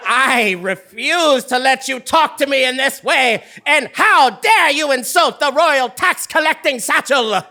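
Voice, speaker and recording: A man's voice: very high-pitched, expressive, unhurried, hardly any pauses, bright, forceful tone, heavily acted. A man playing a pompous, easily outraged royal tax collector in a comic stage play, speaking with formal indignation. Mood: indignant.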